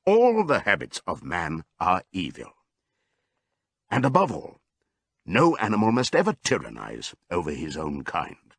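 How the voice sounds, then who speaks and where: A man voicing an old male pig in an audiobook, giving a solemn, deliberate, rousing speech to his comrades. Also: deep voice, huge pitch swings, fairly bright tone, no echo, studio quality, voice pushed down, exaggerated voice. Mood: solemn, commanding. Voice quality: hoarse as scripted old voice. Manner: oratorical, deliberate.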